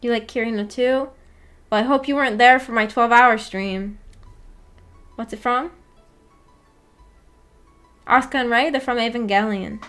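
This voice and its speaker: High-voiced woman streamer, giggly and chatty. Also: steady tone, a bit bright, soft background music. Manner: giggly, playfully teasing and apologetic; rambling, halting, filler-heavy talk with frequent "like". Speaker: livestream host. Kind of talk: casual livestream chat with viewers about anime.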